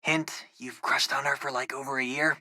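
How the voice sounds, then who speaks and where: A man's voice: medium pitch, flat delivery, moderate pace, pushed and bright, no room sound, studio quality. A young man playing a geeky, upbeat teenage boy in a video game, a loyal best friend with a casual, wry, chatty way of talking. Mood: teasing. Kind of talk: video game companion dialogue.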